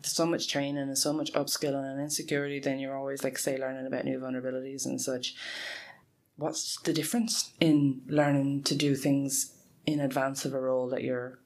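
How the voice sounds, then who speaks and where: A woman's voice: a bass voice, little pitch movement, a little fast, a little mellow. Earnest woman in her forties, laughing often. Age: 40s. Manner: earnest, frequent laughs, self-correcting. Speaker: guest, tech support lead. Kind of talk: conversational interview answers.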